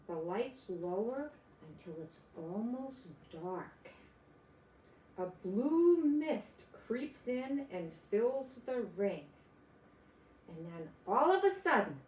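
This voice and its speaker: An older grandmother reads a children's story aloud. Her delivery is slow and very animated, with exclamations aimed at young listeners. The room around her sounds echoey. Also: fairly high pitch, slight background noise. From United States. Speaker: grandmother reading picture books aloud. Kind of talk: children's storybook read-aloud with chatty asides.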